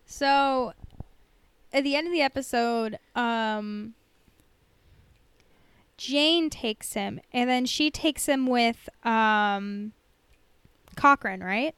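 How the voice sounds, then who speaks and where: A woman with a high, smooth voice talks casually as a podcast co-host. She sounds informal and hedges as she goes, dropping "like" often. The recording is close and dry. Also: very slow, long pauses, fairly bright tone, no echo. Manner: informal, hedging, peppered with "like". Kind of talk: casual podcast chat about TV shows.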